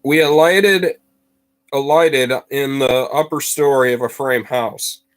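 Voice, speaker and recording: A man reading a story aloud to students as a teacher, with expressive, dramatic phrasing and deliberate emphasis. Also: medium pitch, poor audio.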